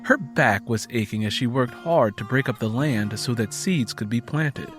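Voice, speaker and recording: A man's voice: mid-range voice, moderate pace, a bit bright, soft background music. A man reading a dramatic audiobook story as its narrator, in scripted prose.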